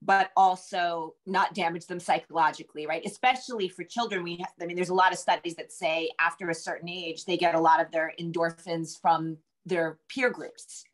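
A middle-aged woman with a slightly low voice gives conversational answers as an interview guest. She speaks from a room at home over a video call. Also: fairly even intonation, moderate pace, few pauses.

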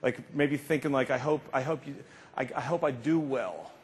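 A man's voice: mid-range voice, normal speed, slight background noise. A man in his fifties giving an emphatic, informal motivational keynote speech, repeating phrases for effect. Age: 50s. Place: large conference stage indoors. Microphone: lapel mic. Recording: reverberant large hall, slightly muffled speech.

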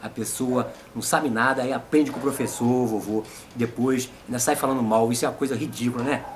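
A man's voice: medium pitch, steady tone, fairly quick, a little hiss or hum. A man in his fifties with a Brazilian accent, hosting a casual comic interview. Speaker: host and puppeteer.

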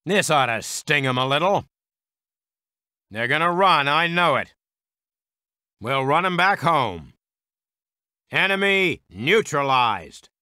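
Gung-ho american ww2 squad soldier for a war game, really sharp punchy voice, kinda high, takes his time. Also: big pitch swings, slow pace, pauses often, clean tone, no room sound, studio quality, full character voice. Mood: confident, brisk. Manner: clipped, military, punchy delivery. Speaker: game voice actor. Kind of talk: military unit barks for a strategy game.

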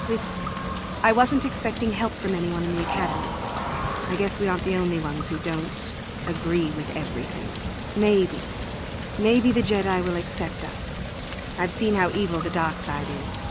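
An actress playing a young, guarded, earnest runaway student in a video game, over loud ambient noise. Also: female voice, lowish voice, close to an everyday voice. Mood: hopeful, grateful. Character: wary, defiant young female runaway student. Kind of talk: video game character acting.

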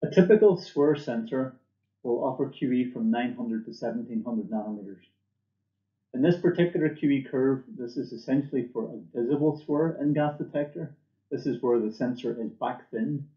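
A man's voice: medium pitch, normal speed. Middle-aged man presenting, echoey dull recording. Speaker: company presenter. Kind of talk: scripted product presentation. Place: indoor room, seen on webcam. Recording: echoey room, dull muffled audio.

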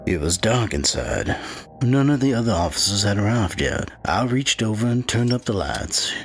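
An actor playing a grim, secretive 1920s small-town sheriff, telling his dark tale as a gravelly first-person narrator. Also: on the low side, big pitch swings, normal speed, faint music behind. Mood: grim, brooding. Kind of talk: first-person dramatic storytelling.

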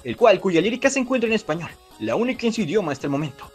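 A man with a high voice hosts a scripted trivia video. His delivery is expressive and swings widely in pitch, with music playing along underneath. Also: rapid-fire, slightly rough. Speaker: video host.

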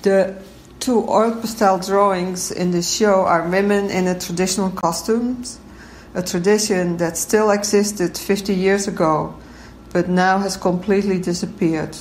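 Slow-spoken woman in her sixties. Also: medium pitch, fairly even intonation, darkish tone, a little hiss or hum. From Netherlands. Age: 60s. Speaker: exhibiting artist. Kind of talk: prepared artist statement.

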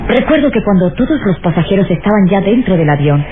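A woman's voice: medium pitch, sing-song, fast talker, lightly acted. Radio serial stewardess, played by a woman: young, nervous, hesitant female voice, tinny and heavily noisy recording. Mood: uneasy, alarmed. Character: nervous, obedient young female flight attendant. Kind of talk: old radio serial acting.

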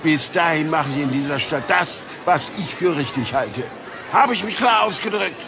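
A man's voice: a little high, lively intonation, normal speed. A man playing a harsh, contemptuous army captain in an audio drama, domineering and scolding. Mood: scornful. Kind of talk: German audio drama acting. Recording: noisy outdoor ambience behind.

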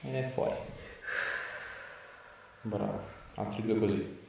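A man's voice: lowish voice, steady tone, slow, vocal fry. A man in his thirties, a chiropractor, giving a patient short, reassuring instructions during treatment. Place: small treatment room, indoors. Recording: very roomy, distant mic, faint noise.

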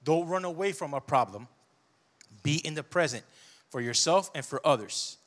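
A man in his forties preaching a sermon on a church stage into a handheld mic, conversational and animated, with personal asides. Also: on the high side, normal speed.

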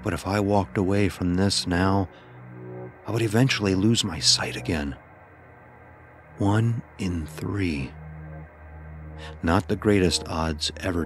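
Deep-voiced man narrating a horror story. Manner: grave, measured storytelling. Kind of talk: dramatic first-person horror story narration.

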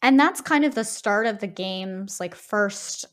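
A woman's voice: mid-range voice, animated, unhurried, fairly bright tone. Woman podcaster who keeps checking "right?". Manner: often tags phrases with a questioning "right". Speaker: podcast co-host. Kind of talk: casual discussion of a video game.

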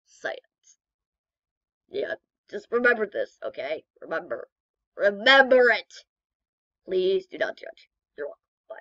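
A woman's voice: mid-range voice, very animated, measured pace, long pauses, a bit bright, no room sound, dull audio. A young woman casually narrating her amateur comic dub with playful, self-deprecating asides.

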